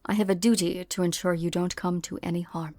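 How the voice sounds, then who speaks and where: A woman's voice: on the low side, steady tone, a little fast, a little mellow, no echo, faint music behind, lightly acted. Woman doing a dutiful defense director, formal, earnest, dry close recording. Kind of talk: amateur fan dub acting.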